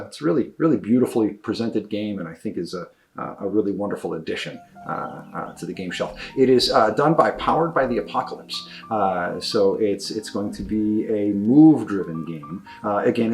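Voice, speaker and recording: A man giving an enthusiastic, unscripted product review as a game shop presenter, effusive and emphatic, often repeating words for stress. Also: fairly low pitch.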